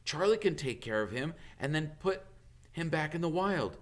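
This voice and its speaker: A man's voice: a little high, animated, moderate pace, pitched above the performer's own voice, close to an everyday voice. A man voicing an earnest schoolboy wizard in a storybook, urgent and insistent. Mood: insistent, excited.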